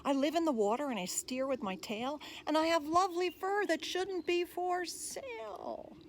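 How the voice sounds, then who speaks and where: A woman voicing a cute, gentle, worried sea otter in singsong picture-book rhyme. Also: extremely high pitch, normal speed, a little hiss or hum, pitched above the performer's own voice. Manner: singsong rhyming delivery. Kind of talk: rhyming picture-book read-aloud.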